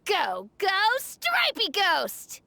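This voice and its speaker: Confident young child: very high, bright, eager game voice. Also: female voice, wildly swooping pitch, extremely slow, gravelly, no room sound, heavily acted. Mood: eager, competitive. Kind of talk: video game voice acting.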